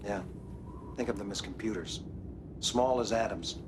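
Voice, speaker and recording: An actor, playing a weary, grave field doctor in a game drama, explaining in a measured, halting way. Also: male voice, fairly low pitch, background noise, close to an everyday voice. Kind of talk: film drama acting.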